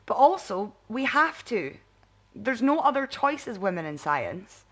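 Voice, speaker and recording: A woman's voice: on the high side, fairly bright tone. A woman voicing an affectionate, earnest young woman scientist who encourages her friend in a bedtime story.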